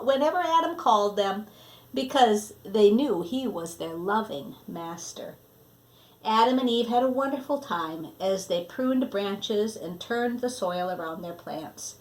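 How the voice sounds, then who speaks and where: A woman reading a children's story aloud expressively, with deliberate phrasing that sometimes drops to a hush. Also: fairly high pitch. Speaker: storybook reader.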